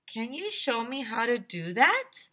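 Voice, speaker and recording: A woman voicing a slow-witted, puzzled male ice cream vendor in a storybook, hesitant and drawn-out. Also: on the high side, very animated, bright, forceful tone, pitched above the performer's own voice, full character voice. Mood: confused.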